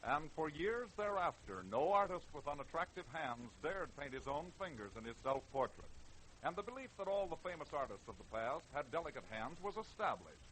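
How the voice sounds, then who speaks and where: A man's voice: a little high, sing-song, moderate pace, fairly bright tone. A man narrating a scripted radio programme in dramatic 1940s style, on a noisy vintage recording.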